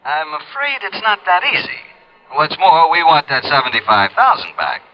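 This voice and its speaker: An actor playing an exasperated, coaxing insurance company boss in a businesslike, cajoling manner, in the style of 1950s radio drama. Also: male voice, fairly high pitch, huge pitch swings, normal speed. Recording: dull vintage radio recording.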